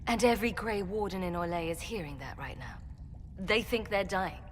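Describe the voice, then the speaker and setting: A woman's voice: mid-range voice, normal speed, lightly acted. Woman as blunt ally, echoing space. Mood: alarmed. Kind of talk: video game dialogue acting. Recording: long reverb, faint background noise.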